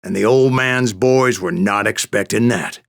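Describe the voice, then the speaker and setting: An actor playing a grizzled old Wild West gunslinger in a video game, telling boastful tales in a folksy, theatrical American Western drawl with a gravelly voice. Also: male voice, on the low side, unhurried. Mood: vengeful, dramatic. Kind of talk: dramatic first-person storytelling narration for a western game.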